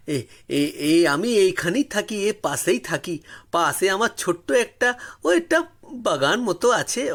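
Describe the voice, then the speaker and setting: Storytelling role: deferential rustic man in his fifties played by a male narrator, Hindi-tinged accent, high, loud, effusive. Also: exaggerated voice. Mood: eager, humble. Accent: non-Bengali, Hindi-tinged Bengali. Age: 50s. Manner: obsequious, effusive, repetitive.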